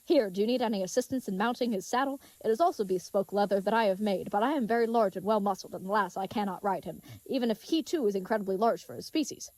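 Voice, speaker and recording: A woman's voice: medium pitch, fast, hardly any pauses, darkish tone, no echo, close to an everyday voice, low-quality recording. A woman playing a big, earnest, dim-witted young knight in an audio drama, speaking in florid, old-fashioned, chivalrous phrases. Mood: cheerful, courtly.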